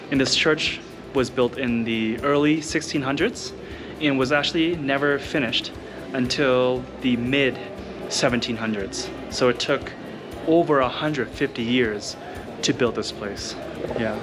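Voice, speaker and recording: Guy from thailand vlogging on city streets, mid pitch, chatty, says "like" a lot, music playing under him. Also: moderate pace. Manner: chatty, loose, full of "like" and "you guys". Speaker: travel vlogger. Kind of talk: casual travel vlog.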